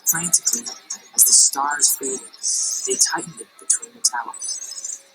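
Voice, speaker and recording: A woman teacher reading a picture book aloud in an expressive storytelling voice, slowing down on dramatic phrases. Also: sits low, rough recording. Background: music.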